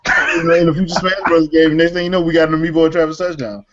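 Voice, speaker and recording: A man's voice: on the high side, fairly even intonation, fast talker, dull audio, crowd noise. A man hosting a casual gaming chat in a slangy, easygoing, joking way. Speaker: podcast host.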